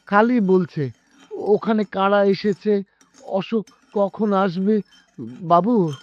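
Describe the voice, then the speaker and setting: A deferential household servant in a radio play. He talks slowly and hesitantly, with small stammers. The sound is somewhat dull. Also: sits high, steady tone, no room sound. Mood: timid, concerned. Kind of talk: radio drama acting. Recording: dull lo-fi radio drama audio.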